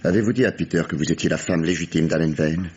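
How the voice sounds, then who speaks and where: A very low, husky male voice gives us a relentless, worn-out police interrogator. He presses with menacing, falsely paternal insistence, in the manner of a 1950s radio mystery. The recording is dull and vintage. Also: quick pace, a little mellow. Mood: stern, pressing. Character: gruff, weary, relentless male police detective interrogating a suspect.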